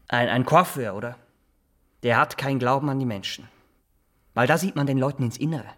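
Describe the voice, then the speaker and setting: An actor playing an eager, simple barber in a radio drama, halting and repetitive. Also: male voice, mid-range voice, lightly acted. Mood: morbid, proud. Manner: halting, repeats himself, insistent. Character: eager simple Swiss barber, boastful, morbidly fascinated. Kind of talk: naturalistic radio drama dialogue.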